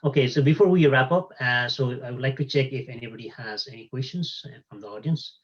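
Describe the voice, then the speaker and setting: A man's voice: mid-range voice, brisk, slightly rough, dull audio. A man hosting an online talk, thanking the guest and opening questions, with frequent fillers. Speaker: host. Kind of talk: online event moderation.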